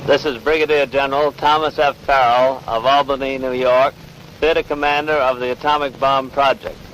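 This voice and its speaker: A man's voice: medium pitch, steady tone, normal speed, a bit bright. American male newsreel interviewer, formal 1940s delivery, lightly noisy vintage field recording.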